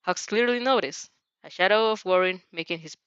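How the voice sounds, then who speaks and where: A woman's voice: lowish voice, fairly quick, a bit bright, clear, resonant tone, dry recording, dull audio. A woman narrating fan fiction with casual reaction asides, sometimes stumbling and rereading phrases.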